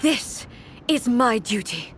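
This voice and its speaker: A woman's voice: a little high, very animated, slow pace, faint music behind. A woman playing a solemn, resolute ageless female ruler in a dramatic video game, speaking in stately, formal phrases.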